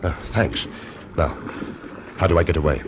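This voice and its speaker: Male actor, cool, persuasive, well-spoken murderer for old radio drama, very low voice, noisy aged recording. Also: steady tone, normal speed, natural voice. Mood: earnest. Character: cool, persuasive convicted murderer, gentlemanly and scheming.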